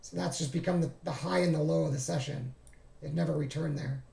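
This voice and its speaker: Man, hoarse, gravelly fry, mellow tone, echoey room, halting self-correcting talk. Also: on the high side, steady tone. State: losing his voice. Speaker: trading streamer. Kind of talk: casual screen-share walkthrough.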